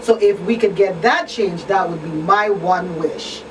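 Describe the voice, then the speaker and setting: A woman's voice: lowish voice, measured pace. A woman hosting a community radio talk show, speaking earnestly and repeating her points for emphasis. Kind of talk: casual panel chat. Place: radio studio. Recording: distant mic with clear background noise.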